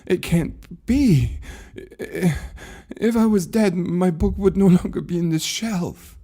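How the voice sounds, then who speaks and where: Frightened everyman in an audio story, played by a male reader: higher pitched, halting, husky, emotionally strained. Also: mellow, heavily acted. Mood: distressed disbelief. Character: bewildered, frightened man trapped in a mysterious library. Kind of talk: dramatized short story reading.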